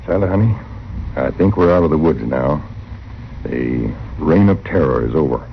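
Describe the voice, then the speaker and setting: An actor playing an earnest frontier town doctor in a radio western, speaking persuasively and paternally with an American accent. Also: male voice, rumbling low, animated, moderate pace, creaky, heavily acted. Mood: reassuring. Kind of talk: old-time radio western acting. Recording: muffled, noisy old radio recording.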